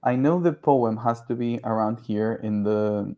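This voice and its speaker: A man's voice: lowish voice, unhurried, mellow, highs cut off. A man, a guest author and translator, speaking casually and hesitantly, often searching for words. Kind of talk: casual conversation.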